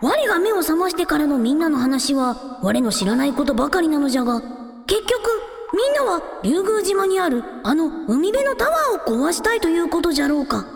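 A woman's voice: very high voice, big pitch swings, fairly quick, vocal fry, full character voice. A woman playing a proud young dragon prince in a radio drama, with boastful, old-fashioned lordly phrasing. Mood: earnest. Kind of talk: Japanese radio drama acting. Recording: echoey, long reverb, music underneath.

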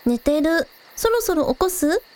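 A woman playing a cheerful, curious young village idol girl, casual and quick to exclaim, in a scripted audio drama. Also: extremely high pitch, big pitch swings, darkish tone, exaggerated voice. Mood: teasing, easygoing.

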